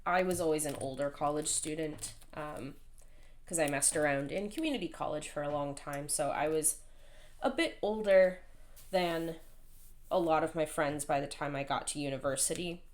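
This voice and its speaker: A woman in her thirties with a hoarse voice, chatting casually and rambling while she plans. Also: deep voice, moderate pace, a little hiss or hum. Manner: chatty, rambling, self-deprecating. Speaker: planner vlogger. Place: at home, indoors.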